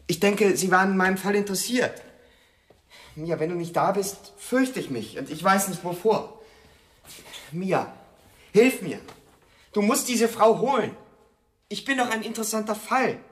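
A man's voice: sits high, animated, normal speed, long pauses, fairly bright tone. An actor playing a needy, fearful man in a radio drama, pleading and wistful in an intimate manner. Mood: pleading, afraid. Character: needy, fearful, tender man infatuated with his female accomplice. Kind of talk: German radio drama acting.

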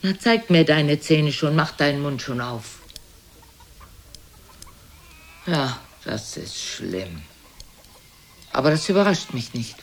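A woman's voice: low voice, expressive, a little slow, heavily acted. An actress playing an imperious, blunt, aged mother who coolly belittles her son in a theatrical radio play. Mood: haughty, needling. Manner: haughty, probing, theatrical. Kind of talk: German radio play acting.

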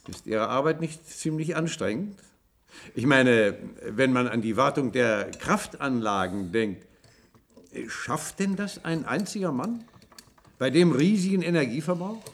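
An actor playing an old captive professor in a German science-fiction radio drama, bitter and sardonic, with an emphatic way of talking. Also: male voice, mid-range voice, animated, a little slow. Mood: slyly evasive. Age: older.

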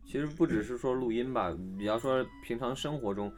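A man's voice: medium pitch, fairly quick, a little mellow, soft background music. A man talking casually as a voice actor guest in a conversation, emphatic and often repeating short phrases for stress. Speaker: voice actor guest on an audio drama chat. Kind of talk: casual chat.

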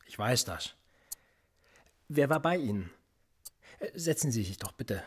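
A pedantic, insinuating police interrogator. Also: male voice, mid-range voice, animated, measured pace, breathy edge. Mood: probing. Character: cold, precise male police interrogator. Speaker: police officer interrogating a suspect. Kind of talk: radio play acting.

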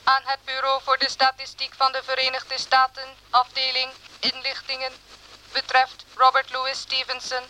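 An actress playing an impersonal clerk in a radio drama, reading out official messages in a clipped, formal monotone. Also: female voice, sits high, normal speed, slight background noise, low-quality recording. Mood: officious, flat. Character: impersonal woman clerk reading out official police telegrams and memos. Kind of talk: radio drama, flat bureaucratic read-out.

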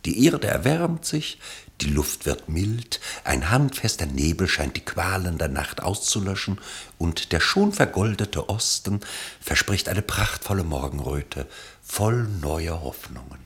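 A man narrates a radio play as a literary storyteller. His low voice has a gravelly edge and moves expressively up and down, with an ironic, elevated delivery. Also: very animated, unhurried, a bit bright.

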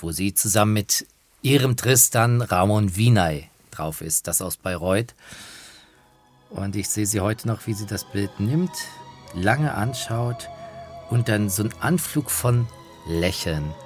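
A man speaking reflectively, with hesitant pauses, as he recalls memories for a radio documentary. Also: fairly low pitch, expressive, breathy edge. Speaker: interviewer recalling people he interviewed. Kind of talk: spoken reminiscence in a radio documentary. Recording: music behind the voice.

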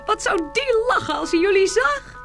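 Little girl, very high animated voice, eager, caring, fairy-tale audio play. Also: moderate pace, soft background music, full character voice. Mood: delighted, curious. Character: lively, caring little girl, curious and bossy.

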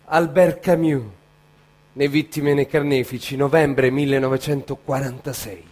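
A man giving a solemn dramatic reading of literature, measured and phrase by phrase. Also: mid-range voice, steady tone, darkish tone. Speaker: actor reading literary quotations.

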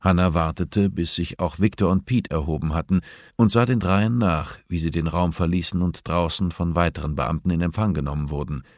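A man narrates a novel in a low-pitched voice. The recording is dry and close, with hardly any room sound around his scripted reading. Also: brisk, dry recording. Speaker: audiobook narrator.

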